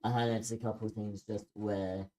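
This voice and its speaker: A man giving informal feedback in a group critique, speaking in a hesitant, halting way. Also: on the low side, little pitch movement, dark tone, rough recording. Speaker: participant giving script feedback.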